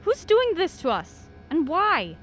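An actress playing a practical, breezily unflappable traveler with brisk banter in a comic radio drama. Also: female voice, very high-pitched, huge pitch swings, over music, exaggerated voice. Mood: curious, breezy. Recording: clear vehicle noise behind.

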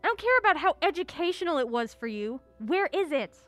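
An actress playing a bossy, impatient amateur sleuth with a brisk, curt manner in a comic audio drama. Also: female voice, high-pitched, very animated, fairly bright tone, faint music behind. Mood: irritated, bossy. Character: bossy, impatient woman amateur sleuth, assistant store manager. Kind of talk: comedic audio drama acting.

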